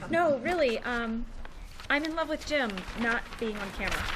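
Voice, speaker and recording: A woman acting a shy, private, devoted wife in an earnest, halting way at a comedy table read. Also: on the high side, animated, crowd noise, close to an everyday voice, rough recording. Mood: shy, self-conscious. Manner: earnest, halting, self-conscious. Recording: live stage, faint background noise.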